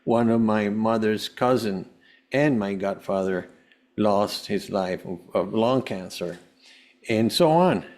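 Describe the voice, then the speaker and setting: Low-pitched man telling his story haltingly. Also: a little mellow. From Venezuela. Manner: halting, often repeats words. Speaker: podcast guest, holistic health coach. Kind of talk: personal storytelling in an interview.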